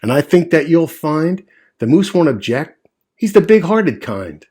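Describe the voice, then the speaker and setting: Man voicing a small breezy storybook bug, raised pitch, husky edge. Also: sing-song, measured pace, darkish tone, heavily acted. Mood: cheerful. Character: small chatty male bingle bug.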